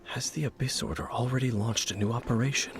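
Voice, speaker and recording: An actor playing a stern, knowing, world-weary swordsman in a game, measured and serious with a gravelly voice. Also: mid-range voice, fairly even intonation, lightly acted. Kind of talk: anime-style game voice acting. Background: game music.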